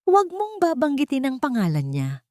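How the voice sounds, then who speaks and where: A woman's voice: sits high, huge pitch swings, moderate pace, a little mellow, dry recording, voice pushed up. Woman as proud rich man, wounded, commanding, emotionally strained, audio drama, dry sound. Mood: anguished anger.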